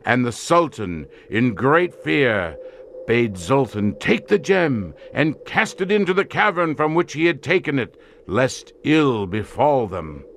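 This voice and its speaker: A man's voice: lowish voice, fairly bright tone, a little hiss or hum, heavily acted. An actor playing a grave desert tribesman who solemnly tells of an ancient curse in archaic, portentous language for an audio drama. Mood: dramatic, intense. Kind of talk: theatrical audio drama storytelling.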